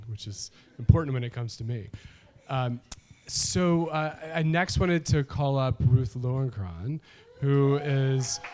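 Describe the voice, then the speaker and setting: A man hosting an awards event, introducing honorees with self-deprecating asides and halting restarts. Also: mid-range voice, darkish tone, a little hiss or hum. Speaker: event host. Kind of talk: emcee remarks.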